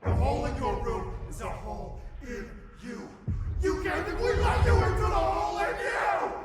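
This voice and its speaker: An actor playing a hostile otherworldly entity that raves and taunts in shrieking bursts. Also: male voice, very high voice, wildly swooping pitch, moderate pace, raised voice, full character voice. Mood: raving menace. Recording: music and crowd noise behind.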